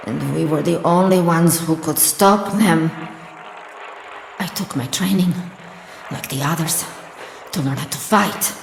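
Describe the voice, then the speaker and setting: An actress playing a haunted, suspicious woman soldier, speaking in halting, intense phrases in a radio drama. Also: low-pitched, creaky, slight background noise, heavily acted. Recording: echoey hall reverb, music behind.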